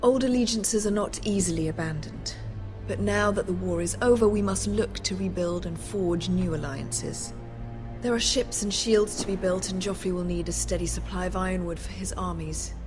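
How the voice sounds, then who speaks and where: An actress playing an icy, menacing queen in a game drama, imperious and cutting, speaking with deliberate weight. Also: on the low side, music in the background, lightly acted. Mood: cold, commanding. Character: haughty, icy, menacing queen in middle adulthood.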